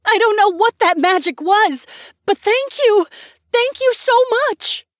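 A woman playing a grateful, gentle and earnest young townswoman in an audiobook story. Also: high-pitched, sing-song, a little slow, no echo, studio quality. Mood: overjoyed, grateful. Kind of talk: audiobook character dialogue.

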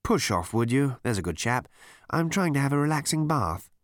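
A man voicing a lazy, mild-mannered male captain who speaks gently and languidly. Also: mid-range voice, big pitch swings, normal speed, dry recording, clean studio sound, voice pushed up, close to an everyday voice. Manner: gentle, drawling.

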